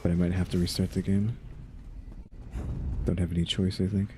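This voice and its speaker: Deep-voiced casual male gamer. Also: flat delivery, normal speed, dark tone, slight background noise. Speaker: gamer, channel host. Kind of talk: casual gameplay commentary. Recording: game music behind.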